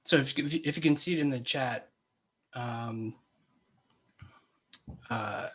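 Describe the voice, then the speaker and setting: Male webinar moderator, mid-pitched, informal and polite, with a hesitant, halting delivery. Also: husky. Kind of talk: informal moderating of audience questions. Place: online webinar call.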